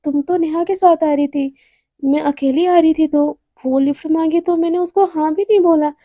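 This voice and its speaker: A woman's voice: high voice, steady tone, moderate pace, no room sound, close to an everyday voice. A woman voicing a timid young woman who speaks hesitantly and apologetically. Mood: apologetic. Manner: hesitant, soft, stammering.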